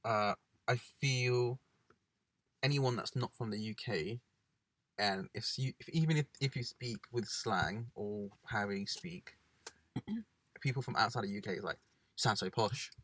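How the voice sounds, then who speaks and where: A man from England co-hosting a casual chat in a parked car, animated and quick to imitate others. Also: medium pitch, no echo. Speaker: podcast co-host.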